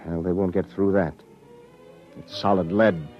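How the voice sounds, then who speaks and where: An actor playing a resolute, protective young rebel in a 1950s radio drama, speaking with earnest dramatic delivery. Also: male voice, low-pitched, flat delivery, a little slow, over music. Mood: urgent. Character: resolute, protective young male rebel fleeing to Earth's surface. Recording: muffled 1950s radio recording.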